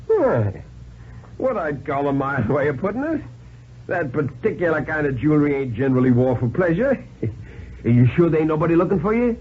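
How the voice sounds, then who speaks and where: Jeering high-pitched folksy tramp. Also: male voice, very animated, normal speed. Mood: mocking welcome. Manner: rustic slangy drawl, mocking. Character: jeering, folksy male tramp, sly and uneducated. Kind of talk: 1950s radio dramatic reading. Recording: lo-fi 1950s radio, hissy.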